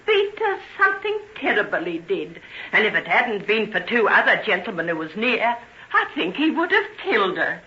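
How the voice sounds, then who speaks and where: A muffled old radio recording of a humble, distressed mother. Her voice is bright and swoops about, deferential and pleading in a plain working-class manner. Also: mid-range voice, very animated, normal speed. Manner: deferential, tearful, folksy wording. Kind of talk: old-time radio drama acting. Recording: dull vintage radio recording.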